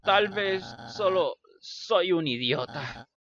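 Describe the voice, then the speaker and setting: A man voicing a vain, insecure young blue bird in a video game fandub, theatrical, halting and self-important. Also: high-pitched, expressive, a bit bright, muffled recording, heavily acted. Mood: dejected, confessional.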